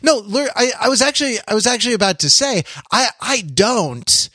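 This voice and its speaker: A man co-hosting a podcast in casual conversation, haltingly thinking aloud and padding his points with hedges. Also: very high-pitched, very animated, sharp tone, no echo, clean studio sound. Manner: halting, thinking aloud, hedging with "like" and "you know". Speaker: podcast co-host.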